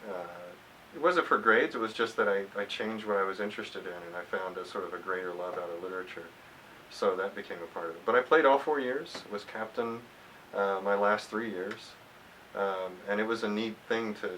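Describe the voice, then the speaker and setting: A man in his fifties from Texas speaks in a low voice with a bright, firm edge. He answers interview questions reflectively, often pausing and restarting a phrase. The microphone is some way off, with a hiss underneath. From United States, grew up in Texas. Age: 50s. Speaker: alumni interviewee, former college athlete. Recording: hissy, distant microphone.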